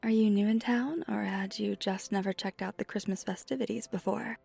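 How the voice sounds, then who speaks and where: A woman playing a flirty, friendly vampire in an ASMR roleplay, speaking softly and reassuringly with a breathy, near-whispered delivery. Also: mid-range voice, fairly even intonation, normal speed. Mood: chatty, curious. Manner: soft-spoken, gently reassuring, often breathy near-whisper. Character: flirty friendly female vampire teaching ice skating. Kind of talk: ASMR roleplay, one side of a conversation. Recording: music behind the voice.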